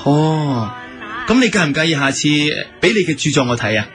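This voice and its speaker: A man's voice: on the high side, very animated, normal speed. A man acting in a radio drama with a Hong Kong Cantonese accent, playing a courteous, eager and smitten silk-shop owner. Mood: courteous, interested. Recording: music bed under the voice.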